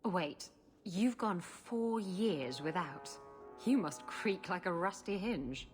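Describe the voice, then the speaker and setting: Brazen rogue woman, husky slow drawl, swooping pitch, sly, teasing, game dialogue over music. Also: animated. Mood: gleeful teasing. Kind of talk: video game character acting.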